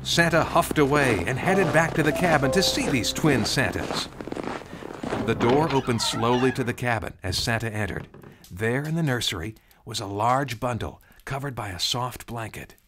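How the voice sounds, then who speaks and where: A man's voice: mid-range voice, animated, moderate pace, heavy background noise. A man narrating a scripted storybook tale in a dramatic storytelling style. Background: music under the narration.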